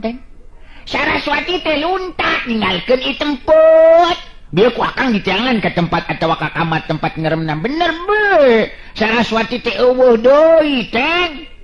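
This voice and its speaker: Sundanese guy telling a folk tale, high voice, keeps chuckling. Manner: lively, chuckling often between lines. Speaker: folk storyteller.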